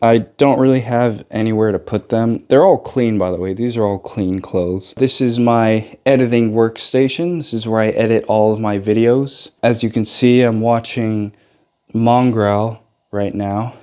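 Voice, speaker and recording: A young man in his twenties, a bit sick and hoarse, chatting loosely to viewers about his car project. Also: fairly low pitch, fairly even intonation, measured pace, warm tone. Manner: loose and joking, addresses viewers as guys. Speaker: car vlogger. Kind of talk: casual vlog chat. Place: home bedroom, then cold outdoor garage.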